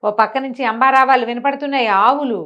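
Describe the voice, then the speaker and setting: A woman's voice: high-pitched, fairly even intonation, fast, muffled recording. A woman telling a story conversationally, in colloquial speech, posing rhetorical questions as she goes along.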